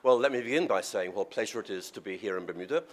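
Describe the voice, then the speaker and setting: A middle-aged man giving a public lecture, his voice husky and sore from a cold, speaking in a conversational, wry way. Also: fairly low pitch, very fast, background noise. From Northern Ireland.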